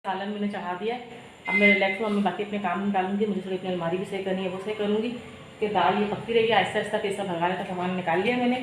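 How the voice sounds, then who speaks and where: A woman's voice: mid-range voice, fairly even intonation, racing, a little hiss or hum, low-quality recording. A woman vlogging at home in her kitchen, chatty and talking viewers through each step, with a sore throat. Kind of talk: casual cooking vlog narration.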